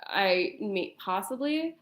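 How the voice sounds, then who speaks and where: A woman speaking as a guest in a casual chat, halting and pausing mid-sentence. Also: medium pitch, animated. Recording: echoey room sound.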